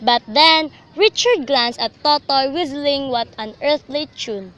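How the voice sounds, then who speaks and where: A woman's voice: high-pitched, unhurried, a bit bright, highs cut off. A young woman reading scripted, dramatic story narration for a student radio drama. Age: young adult. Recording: faintly noisy amateur recording.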